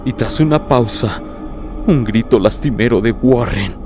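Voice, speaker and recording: A man narrating a horror story in the first person, in a grave, literary, dramatic manner with deliberate phrasing. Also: medium pitch. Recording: prominent music behind the voice.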